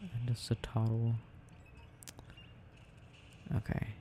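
A man murmuring haltingly to himself in an ASMR style as he rambles while playing a video game. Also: mid-range voice, mellow, a little hiss or hum. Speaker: audio performer playing a boyfriend gaming.

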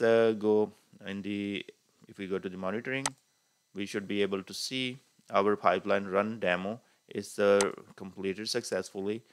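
A man with a fairly low voice walks through a software tutorial on screen, pausing often on "uh" between steps. The recording carries a faint hiss underneath. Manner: unhurried, many "uh" fillers while clicking through steps. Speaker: tutorial instructor.

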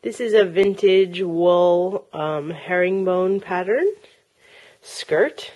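Slow-talking woman showing sold items. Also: on the low side, a little mellow, poor audio. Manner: chatty, with frequent ums and uhs. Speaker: online reseller. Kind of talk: casual show-and-tell of sold items. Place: home, tabletop filming. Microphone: handheld camera close-up, speaker off-camera.

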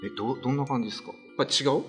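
A middle-aged man hosting a casual chat in an easygoing, animated way with frequent interjections. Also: mid-range voice, very fast. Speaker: host, stage actor. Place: small meeting room, indoors. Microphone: desk microphone. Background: background music.

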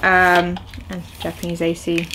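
A woman's voice: fairly low pitch, completely flat delivery, unhurried, background noise. Chatty young woman rambling over an unboxing. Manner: chatty, rambling, lots of ums and uhs. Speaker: video host. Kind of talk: casual unboxing chat. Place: small indoor room at home, seated on a sofa.

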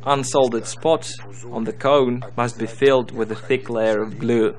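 Male tutorial narrator, mid-pitched, reading scripted instructional material. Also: steady tone, unhurried.

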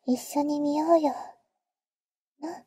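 A woman playing a teasing, protective vampire big sister in an ASMR roleplay, speaking in an intimate, soft whisper close to the mic with cool, boyish phrasing. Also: high-pitched, steady tone, slow, dry recording. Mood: affectionate, playful. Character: teasing, protective female vampire, older-sister type to a boy. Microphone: close microphone, dry.

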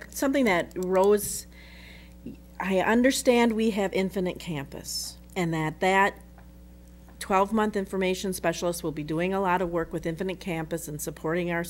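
A middle-aged woman at a school board meeting, asking questions in a halting, restarting way into a desk microphone. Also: lowish voice, steady tone, slight background noise. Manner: halting, restarts and trails off between thoughts.

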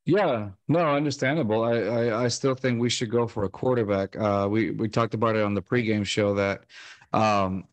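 A man's voice: fairly low pitch, moderate pace, no echo. A man in his thirties co-hosting a fan podcast over a video call, rambling with lots of fillers and restarts. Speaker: podcast co-host. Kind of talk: casual sports chat. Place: home room, video call. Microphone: studio mic on stand with headset.

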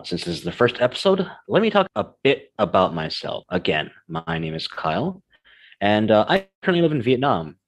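Deep-voiced American man, easygoing host. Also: moderate pace. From United States. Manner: relaxed, chatty, many fillers. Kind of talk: casual language lesson chat.

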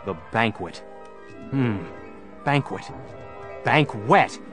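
A man's voice: mid-range voice, expressive, extremely slow. An actor playing a stern, determined male crime-fighting hero in an audio drama, terse and brooding. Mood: pondering. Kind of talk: full-cast audio drama acting. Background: dramatic music.

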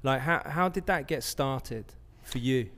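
A man's voice: medium pitch, unhurried, fairly bright tone, in a large hall. A man hosting a podcast interview, asking casual questions full of fillers. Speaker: podcast host. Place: large bright studio, indoors. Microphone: stand mic.

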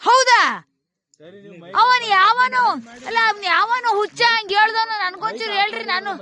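Very high-pitched woman, rural southern Karnataka accent. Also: steady tone, moderate pace, pushed and bright. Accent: Kannada, rural southern Karnataka. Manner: scolding, repetitive rhetorical questions. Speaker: vlogger. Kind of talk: casual spoken commentary and argument to people on the spot. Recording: live location with crowd noise behind. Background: crowd.

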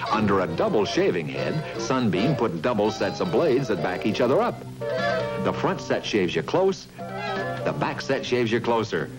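A man with a low voice reads an old television commercial in a smooth, persuasive pitchman manner. His delivery swings up and down a lot. Loud music plays beneath him. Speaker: announcer. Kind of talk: 1960s TV commercial voice-over. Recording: old TV audio over music.

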